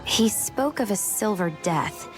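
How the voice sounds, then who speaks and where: A woman's voice: mid-range voice, lively intonation, a little slow, music in the background, lightly acted. A woman playing a cool, candid young heroine in a video game, with composed, dry delivery. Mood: pensive. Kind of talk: dramatic video game acting.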